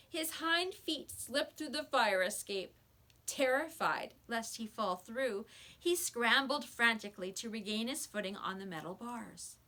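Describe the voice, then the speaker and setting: A woman reading a children's story aloud with expressive, scripted narration. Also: a little high.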